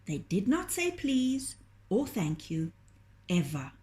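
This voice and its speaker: A woman reading a children's story aloud in a gentle, deliberate, expressive storytelling manner. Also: medium pitch. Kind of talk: children's storytelling, scripted narration.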